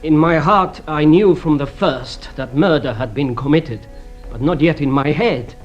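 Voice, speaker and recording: A man plays an indignant, scheming foreign accuser in a radio drama. His slightly high voice is stiff, self-righteous and defensive. The recording is dull and lo-fi. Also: measured pace, faint music behind, close to an everyday voice. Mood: insistent accusation.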